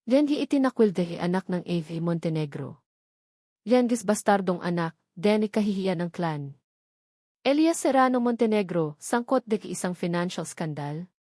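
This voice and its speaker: A woman with a Filipino accent narrating a scripted story in a dramatic, deliberate way. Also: on the low side, animated, dark tone, no room sound, studio quality. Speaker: audiobook narrator.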